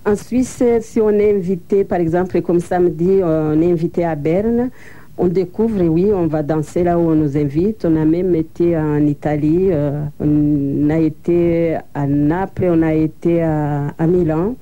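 A woman's voice: low-pitched, measured pace, mellow, muffled recording. A woman guest in an interview, plain-spoken and recounting events step by step. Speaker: interview guest, association co-founder. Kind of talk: broadcast interview.

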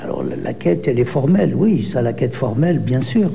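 A man in his seventies, born in Algeria, answering interview questions slowly with many hesitations. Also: mid-range voice, expressive, gravelly, mellow, big-room sound. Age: 70s. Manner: slow, hesitant, many pauses and fillers. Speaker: poet.

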